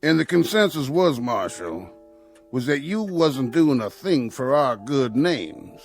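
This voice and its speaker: An actor playing a sly, menacing Old West outlaw in an audio drama, with a gravelly American Western drawl and a folksy, needling way of talking. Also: male voice, mid-range voice, big pitch swings, a little slow. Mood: taunting. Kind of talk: radio drama acting. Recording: music under the dialogue.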